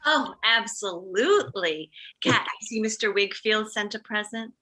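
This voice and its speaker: A woman's voice: medium pitch, expressive, unhurried, bright, forceful tone. A woman playing a gracious, over-polite mother of the bride in a comedy table read, effusive in manner. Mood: gushing.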